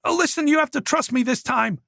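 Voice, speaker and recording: A man's voice: brisk, creaky, pushed and bright, dry recording, clean studio sound, exaggerated voice. A man doing a jittery, pleading young man. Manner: anxious, higher and whiny.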